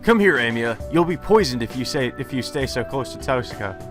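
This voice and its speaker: A man's voice: mid-range voice, animated, a little fast, over music, lightly acted. A man voicing a stern, exasperated student council president in a visual novel, with a stiff, disapproving manner. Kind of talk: amateur visual novel dub.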